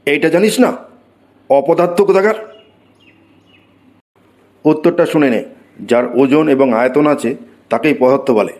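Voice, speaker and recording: Male cartoon schoolteacher, acted by a man, higher-pitched, scolding, excitable, exclamatory. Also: normal speed, pauses often, natural voice. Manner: scolding, exclamatory, mock-praising. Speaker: cartoon voice actor. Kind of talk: comic Bengali cartoon dialogue.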